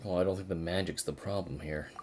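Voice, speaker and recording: A man's voice: low voice, fairly even intonation, fast talker, a little mellow, a little hiss or hum. A man giving casual live commentary as he streams a video game. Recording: game music behind.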